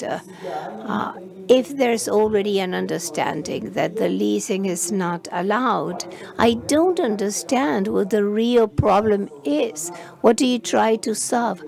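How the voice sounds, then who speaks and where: Slow, gravelly woman interpreting live. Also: medium pitch. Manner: halting, frequent restarts and fillers while rendering speech. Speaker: simultaneous interpreter.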